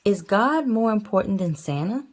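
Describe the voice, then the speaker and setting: A woman voicing a curious, eager little bear cub from a storybook, full of excitable questions. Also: mid-range voice, moderate pace, pitched above the performer's own voice.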